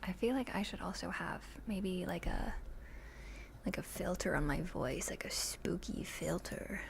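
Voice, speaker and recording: A woman streaming ASMR, chatting casually with her viewers in a soft voice that often drops to a whisper, close to the microphone. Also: lowish voice, a little slow, gravelly.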